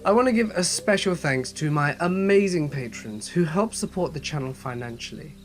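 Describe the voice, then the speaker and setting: A man narrating horror stories as a channel host, reading in a measured, steady way with a voice slightly off from a cold. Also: a little high, soft background music. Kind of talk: scripted horror story narration.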